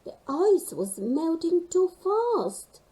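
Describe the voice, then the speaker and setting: A woman reading a children's story expressively, animated and engaging young listeners. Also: very high voice, takes it slowly, poor audio.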